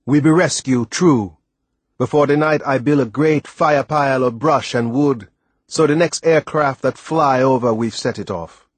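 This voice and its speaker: A man performs an old West Indian seaman for an audiobook, pitched up and hearty. He speaks in dialect with emphatic, deliberate phrasing and a reassuring temper. The recording is clean and dry. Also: slightly rough, no echo, natural voice. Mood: confident. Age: older. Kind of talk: audiobook character voice.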